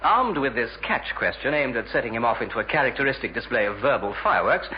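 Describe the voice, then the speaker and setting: An actor playing a suave, persistent radio interviewer and announcer in a 1950s sitcom, formal. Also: male voice, mid-range voice, expressive, fast talker, slight background noise, natural voice. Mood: announcing. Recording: dull old radio broadcast, loud music.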